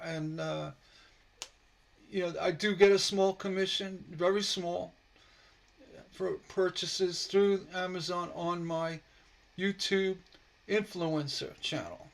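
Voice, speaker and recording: A man teaching stained glass on a casual live stream, slightly hoarse from a sore throat, relaxed and rambling with many fillers. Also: high voice, takes it slowly. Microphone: computer mic on a live stream.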